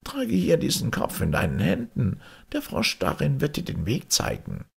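Man putting on a high, husky old witch woman. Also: wildly swooping pitch, normal speed, exaggerated voice. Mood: brisk, instructing. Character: commanding old witch woman, mother-in-law.

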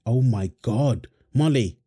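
A man's voice: mid-range voice, slow pace, warm tone, slightly rough. A man playing a young father feeding his baby daughter, playful and affectionate, laughing often. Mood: playful teasing. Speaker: voice actor in audio roleplay. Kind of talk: wholesome audio roleplay.

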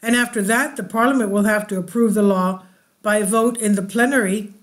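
Woman, channel host, reading translated news, slow and deliberate, asides to viewers, home voice-over. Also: medium pitch, steady tone.

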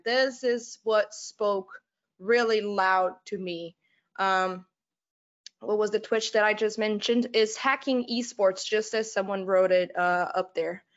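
A woman's voice: on the high side, a bit bright, dull audio. A young woman from Brazil with a Brazilian Portuguese accent gives a casual conference talk over a video call, with lots of fillers, restarts and laughs. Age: young adult. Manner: halting, many fillers and restarts, laughs often. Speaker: conference speaker. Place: home room, on video call.

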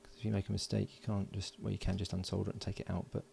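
Low, creaky man thinking aloud. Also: level tone, mellow, a little hiss or hum. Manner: informal, halting, thinking aloud while working. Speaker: electronics hobbyist presenting a build. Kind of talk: casual hands-on tutorial commentary. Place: indoors at a workbench.

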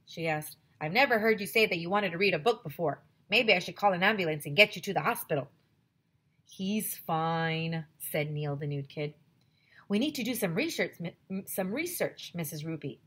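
Lady teacher doing a storybook read-aloud from home, low husky voice, big expressive delivery. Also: normal speed. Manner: expressive storytelling, addresses kids directly. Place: small home room with classroom decorations. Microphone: headset.

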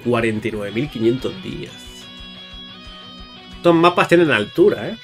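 A man's voice: medium pitch, lively intonation, normal speed. A man giving casual, chatty video game commentary, speaking informally and directly to his viewers with playful exclamations. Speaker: gaming YouTuber. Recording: music under the voice. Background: music.

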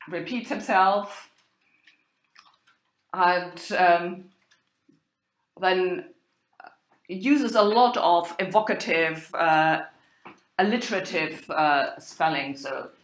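Slow, halting German-accented woman lecturer. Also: fairly low pitch. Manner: halting, hesitant delivery with many pauses. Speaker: university lecturer in medieval German literature. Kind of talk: informal academic lecture with quoted text. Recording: roomy, echoing sound.

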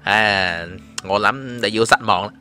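A man's voice: fairly low pitch, fairly even intonation, normal speed, bright, forceful tone, soft background music. A man voicing a confident, shrewd young cultivator in a fantasy audiobook, composed and persuasive. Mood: cool, persuasive. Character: confident, shrewd young male cultivator recruiting bandit followers. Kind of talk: audiobook character voice.

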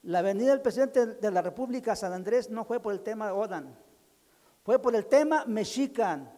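An older man speaks into a handheld microphone to a crowded community hall, his voice pitched very high. He talks with insistence and repeats key phrases for emphasis. The sound carries a long reverb from the large room. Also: lively intonation, moderate pace, mellow. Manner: emphatic, repeats phrases, gestures with raised hand. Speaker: community speaker at a public consultation. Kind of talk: impassioned public address. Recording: echoey hall over a PA.

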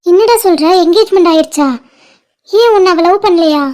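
Brief: a woman as a very high-voiced, worried older sister with a Tamil accent, comforting her crying brother. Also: moderate pace, a little mellow. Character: protective elder sister, anxious and affectionate. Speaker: caring elder sister. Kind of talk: short-film drama acting.

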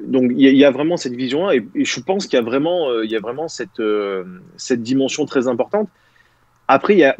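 A man in his thirties speaks over a video call from a small room. He rambles, circling back with fillers and restarts while gesturing. His voice sits at a medium pitch, and the sound is dry and close. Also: no echo. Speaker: guest. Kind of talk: casual video-call discussion. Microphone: video call, webcam.

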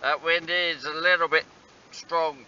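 Bloke mumbling wry asides while fishing, really bright sharp voice, muffled little camera mic. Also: male voice, fairly high pitch, steady tone, a little slow. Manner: wry, self-deprecating muttering. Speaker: angler vlogger. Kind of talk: casual self-talk while fishing. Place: outdoors on a windy stony harbour shore. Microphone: small handheld action camera. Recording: dull lo-fi camera audio with faint wind.

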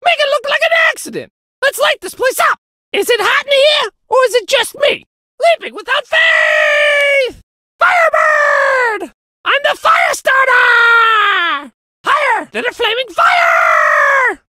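An actor voicing a cocky, hot-tempered phoenix crow in a video game, with brash, taunting one-liners in a strained, shrill voice. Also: sing-song, slow pace, pushed and bright, no echo, shouting, full character voice. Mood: cocky, fired up. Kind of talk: exaggerated video game character voice lines.

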